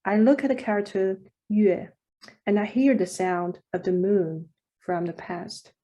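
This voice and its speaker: A woman's voice: mid-range voice, fairly even intonation, measured pace, warm tone, highs cut off. A woman, a Mandarin speaker, reading a reflective prepared commentary as a poet on a panel over a video call. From China. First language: Mandarin Chinese.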